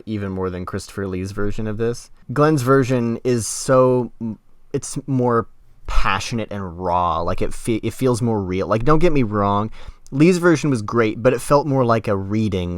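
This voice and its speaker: A man's voice: on the low side, normal speed, clean tone, no echo, studio quality. A man hosting a podcast in casual, conversational review style. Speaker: podcast host.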